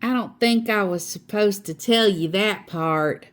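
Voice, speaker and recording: A woman voicing a cheerful, bossy, literal-minded substitute teacher from a children's storybook, with bright, emphatic, drawn-out delivery. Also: medium pitch, voice pushed up, natural voice. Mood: puzzled, eager. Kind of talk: children's storybook read-aloud.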